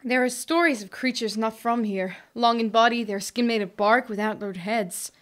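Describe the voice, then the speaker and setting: A woman playing a gentle, independent woodland elf trader in a fantasy audio drama, polite and measured. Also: a little high, lightly acted.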